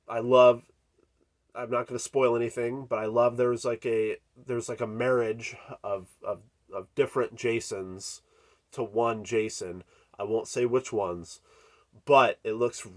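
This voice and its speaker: A man with a congested, stuffy voice reviews a film off the cuff. He rambles, circles back, and fills pauses with ums and likes. His pitch sits in an ordinary middle range. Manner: rambling, many fillers and restarts. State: congested from a cold. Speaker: horror channel host. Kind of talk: unscripted movie review.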